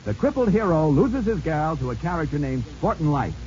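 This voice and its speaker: A man's voice: on the high side, sing-song, fairly quick, darkish tone, a little hiss or hum. An American man hosting an old-time radio quiz show with folksy, chatty, teasing banter, on a muffled vintage recording. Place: radio studio show with audience.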